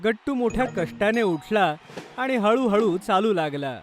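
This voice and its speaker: A man with a notably high-pitched voice narrates a scripted story for children. Music plays clearly behind his storytelling. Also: animated, a little slow.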